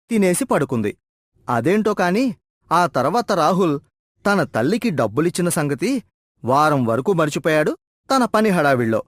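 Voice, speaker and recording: A man narrating a scripted moral story as storyteller, with music playing behind him. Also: a little high.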